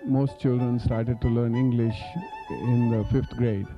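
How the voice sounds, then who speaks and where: A man from India whose first language is Marathi speaks in a measured, mid-pitched voice, pausing on fillers. The recording is dull, with music beneath. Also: steady tone. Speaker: interviewee. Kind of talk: oral history reminiscence.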